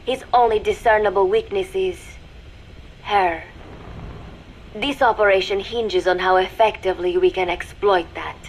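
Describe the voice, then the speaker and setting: A young female spy, played by an actress, dictates a secret intelligence log for a video game. Her medium-pitched voice is formal, deliberate and coolly watchful, every statement measured and calculating. Clear background noise runs under the recording. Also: steady tone, natural voice. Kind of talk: scripted video game voice acting, dramatic monologue.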